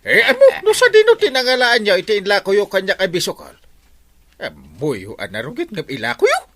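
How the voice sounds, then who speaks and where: An actor playing an exasperated, blustery village captain who scolds and exclaims in a comedic radio drama. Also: male voice, sits high, huge pitch swings, fairly quick, fairly bright tone, exaggerated voice. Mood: scolding.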